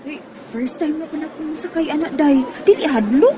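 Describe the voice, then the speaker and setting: An actress playing a shy, lovestruck teenage high school girl in a radio drama, with a bashful manner and a Cebuano accent. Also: normal speed, lo-fi sound, a crowd in the background, close to an everyday voice. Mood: nervous, bashful. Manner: bashful, girlish. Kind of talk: Bisaya radio drama acting.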